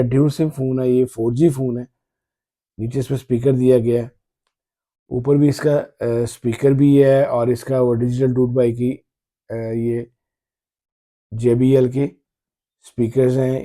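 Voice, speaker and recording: A man giving casual, halting commentary as a tech reviewer unboxing a phone, often repeating his phrases. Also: mid-range voice, warm tone.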